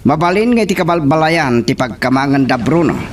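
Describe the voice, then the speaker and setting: An actor playing a scheming young landowner's son giving orders, with a Filipino accent, in a radio drama. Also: male voice, a little high, expressive, brisk, distant mic, a little hiss or hum, lightly acted. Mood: commanding, plotting.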